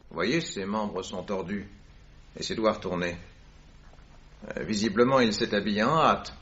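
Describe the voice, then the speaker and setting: An actor playing a self-assured, analytical gentleman detective with dry wit in a French radio drama, speaking deliberately and formally. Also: on the low side, slightly rough, muffled recording. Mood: brisk, observant. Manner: deliberate, precise, formal phrasing.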